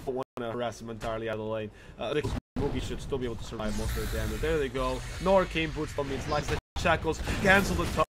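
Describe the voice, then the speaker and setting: A man calls a video game match alone at his desk, speaking into a close microphone. He rushes through the action and often restarts or corrects himself mid-phrase, at an ordinary middle pitch. A faint hum sits behind his voice. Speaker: esports commentator. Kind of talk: solo play-by-play game commentary.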